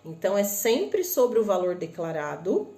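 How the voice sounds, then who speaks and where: A woman with a northern Paraná Brazilian accent explains things to viewers in a chatty live stream, often checking in with them and asking quick rhetorical questions. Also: mid-range voice, echoey room. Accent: northern Paraná Brazilian Portuguese. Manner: chatty and animated, addresses viewers as "gente", many tag questions. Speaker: host of a live stream on shopping imports.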